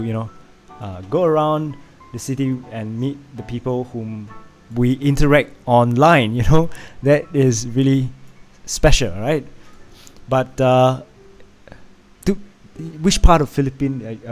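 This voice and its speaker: A man's voice: medium pitch, darkish tone. A man from Vietnam with a Singaporean English accent, hosting a casual live stream and chatting with viewers, laughing often and filling gaps with "uh" and restarts. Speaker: livestream host.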